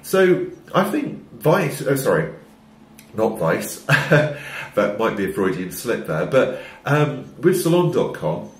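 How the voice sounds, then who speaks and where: A man's voice: mid-range voice, sing-song. Guy in his 30s with a cold, wry opinion vlog, kinda echoey little room. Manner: measured, wry, opinionated, trailing fillers. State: has a cold. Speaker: commentator. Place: small room indoors. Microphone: wired-in microphone facing camera.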